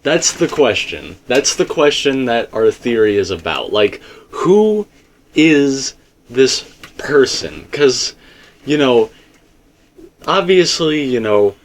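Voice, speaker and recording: A man's voice: medium pitch, sing-song, slow pace. A man hosting a casual fan discussion, explaining things informally and speaking straight to the viewers. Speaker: host of a fan theory discussion.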